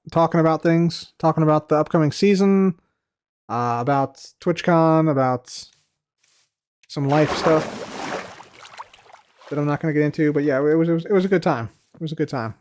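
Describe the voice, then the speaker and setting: A man chatting casually on a live stream, answering viewer questions, rambling and repeating himself with many fillers, his voice a little tired from heavy use. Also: a little high. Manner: trails off quietly at the ends of phrases; reads viewer questions aloud from chat.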